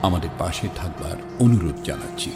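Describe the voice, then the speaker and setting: Lively low-voiced male announcer. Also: fairly quick, in a large hall, loud music behind the voice. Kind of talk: channel announcement.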